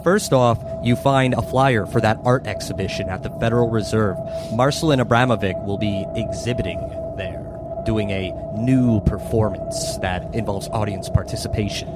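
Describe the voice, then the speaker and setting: A man's voice: fairly low pitch, moderate pace. A man running a tabletop horror game as game master, improvising scene descriptions and calling for rolls. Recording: music laid loudly under the voice. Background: loud background music.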